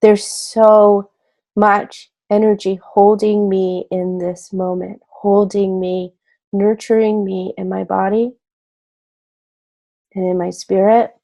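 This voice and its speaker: Slow, mellow woman leading meditation. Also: medium pitch, level tone. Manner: gentle, unhurried, with long pauses between short phrases. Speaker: guided meditation leader. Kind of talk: guided meditation, slow and soothing.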